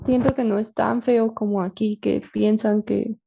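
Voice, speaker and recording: A woman's voice: medium pitch, fairly even intonation, normal speed, creaky, warm tone, muffled recording, a little hiss or hum. A woman with a Mexican accent, a native Spanish speaker, chatting casually and hesitantly as she searches for words. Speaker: interviewee. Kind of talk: casual chat.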